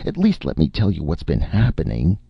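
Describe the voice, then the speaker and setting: A man's voice: low voice, very animated, moderate pace, gravelly, husky, no echo, highs cut off, pitched above the performer's own voice, full character voice. A man voicing a cowardly, easily panicked man in a story, with hesitant, stammering delivery and shaky bravado.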